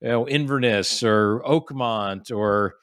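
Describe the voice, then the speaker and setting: A man in his forties from the United States tells stories easily as a podcast guest, restarting sentences and leaning on fillers. His voice sits in an ordinary middle pitch. The recording is dry and close, with no room sound. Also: takes it slowly, fairly bright tone, clear, resonant tone, dry recording. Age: 40s. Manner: chatty storyteller with frequent restarts and fillers. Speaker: guest, golf professional. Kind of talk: casual podcast interview.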